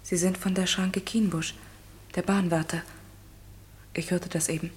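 An actress playing a grieving young widow in a radio play, quiet, fragile and hesitant. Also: female voice, on the low side, little pitch movement, a little slow, husky. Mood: anxious, grieving. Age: young adult. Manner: hushed, hesitant. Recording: radio play with noisy ambience behind.

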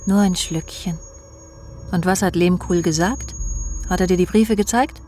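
A woman's voice: lowish voice, moderate pace, darkish tone, soft background music, natural voice. An actress playing a cold, scheming friend in a radio crime drama, sardonic and matter-of-fact as she speaks and narrates. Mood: needling. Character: cold, scheming woman, spiteful friend, narrating her own deeds.

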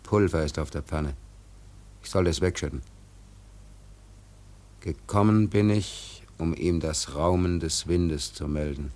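An actor playing an honest, brooding first mate in turmoil, thinking aloud gravely and haltingly in a radio drama. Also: male voice, very deep voice, steady tone, darkish tone. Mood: bitter, brooding. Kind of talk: literary radio drama monologue.

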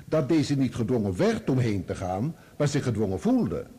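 Sardonic courtroom lawyer, mellow husky voice, really swoops around, formal needling questions. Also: male voice, mid-range voice, very animated, moderate pace. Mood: probing, sardonic. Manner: formal, pointed rhetorical questioning. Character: probing, sardonic male barrister cross-examining a witness. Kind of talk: courtroom radio play acting.

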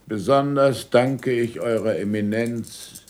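An actor playing an older, sardonic and imperious scholar in a German radio drama, dictating deliberately with irony and curt commands. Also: male voice, mid-range voice, darkish tone, close to an everyday voice. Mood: dictating, ironic.

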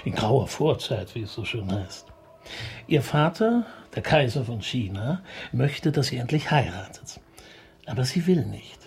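A man's voice: mid-range voice, sing-song, unhurried, slightly rough, full character voice. A man playing a courteous, slightly flustered middle-aged police commissioner who loves opera, in a conversational radio crime comedy, polite, hesitant and wry. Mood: musing, enthusiastic. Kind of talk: German radio play acting, conversational.

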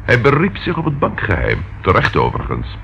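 Chatty detective, low swooping voice. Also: male voice, a little fast, creaky, heavily acted. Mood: breezy, reporting. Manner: lively, informal, relishes reporting findings. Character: chatty, eager male police detective, dryly humorous colleague. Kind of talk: Dutch radio play acting. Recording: dull lo-fi old radio recording, faint noise.

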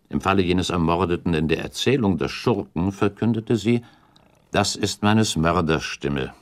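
Casting: shrewd count for radio drama, bottomless pitch, widely swinging melody, deliberate diplomatic phrasing. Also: male voice, heavily acted. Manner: deliberate, hypothetical reasoning, formal and pointed. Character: shrewd, calculating count advising a prince, diplomatic. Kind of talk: German radio drama acting.

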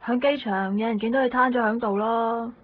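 A woman playing a brisk, businesslike emergency medical worker in a Hong Kong Cantonese radio drama from the 1990s. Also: on the high side, fairly even intonation, a little hiss or hum, close to an everyday voice. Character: brisk, businesslike female ambulance and hospital worker. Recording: dull old radio recording.

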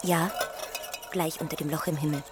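Deadpan young local woman, played by an actress. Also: sits low, moderate pace, background noise, close to an everyday voice. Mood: deadpan, foreboding. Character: young signalman's daughter, matter-of-fact local oracle of eerie things. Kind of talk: German radio play dialogue.

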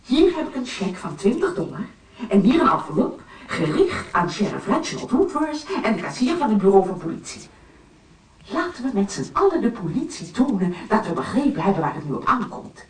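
An actress plays a self-righteous housewife making a solemn public appeal in a radio comedy. Her delivery is declamatory and hugely animated, heard in an echoey space, a bit distant with faint noise. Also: medium pitch, fairly quick, natural voice. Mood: solemnly righteous. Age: 40s. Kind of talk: Dutch radio comedy acting, televised speech. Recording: echoey, distant, slightly noisy.

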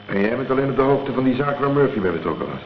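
An actor playing a laconic, wary private detective in a radio drama, speaking in a terse, matter-of-fact way. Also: male voice, medium pitch, expressive, very fast, close to an everyday voice. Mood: wary, thoughtful. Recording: dull, faintly noisy old radio play recording.

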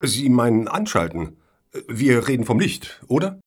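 Man as husky, dry, self-assured official. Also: mid-range voice, sing-song, measured pace. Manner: dry, matter-of-fact, needling. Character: dry, self-assured male foundation official, slyly unruffled. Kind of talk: satirical radio play dialogue.

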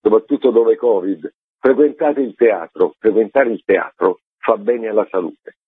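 Low, husky man on a phone-like line. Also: measured pace, no room sound. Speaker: theatre artistic director, interview guest. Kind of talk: spontaneous interview answers. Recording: narrow, tinny phone-like line, dry.

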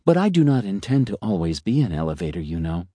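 A man voicing a polite, complacent talking elevator that muses like a philosopher, speaking softly and dryly. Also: sits low, very animated, fast, no room sound. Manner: polite, complacent, quietly musing.

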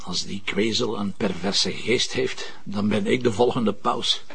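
A man acting a gruff, jealous, sarcastic police commissioner in a TV crime drama, speaking bluntly with a Flemish accent. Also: fairly low pitch, unhurried, pushed and bright, exaggerated voice. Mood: dry, dismissive.

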